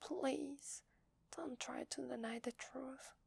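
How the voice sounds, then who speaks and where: A woman with an Italian accent playing a loving, playful werewolf wife in a soft, breathy ASMR roleplay, tender and intimate in her delivery. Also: high-pitched, slow, dry recording, heavily acted. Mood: apologetic, remorseful. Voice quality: breathy, whispery.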